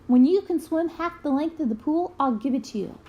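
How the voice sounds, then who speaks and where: A woman voicing an encouraging but firm female swimming coach in a storybook, brisk and coaxing. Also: high-pitched, animated, dark tone, highs cut off, voice pushed up.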